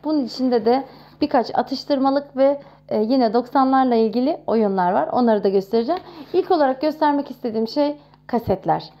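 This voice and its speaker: A woman in her thirties talking casually to camera in a chatty, expressive way, showing off nostalgic things. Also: sits high, fairly quick, lo-fi sound. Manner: chatty and expressive, with frequent exclamations. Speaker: vlogger.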